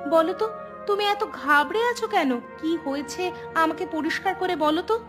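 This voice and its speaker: A woman's voice: very high voice, normal speed, fairly bright tone. A woman voicing a concerned village wife in a cartoon drama, questioning and insistent. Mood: concerned, probing. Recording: music clearly behind the voice.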